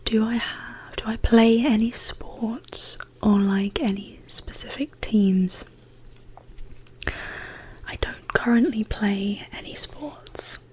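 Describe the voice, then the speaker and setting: A woman speaking as an ASMR host in a hushed, whispered, casual question-and-answer style. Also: a little high, breathy edge. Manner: slow, hushed, gently paced delivery.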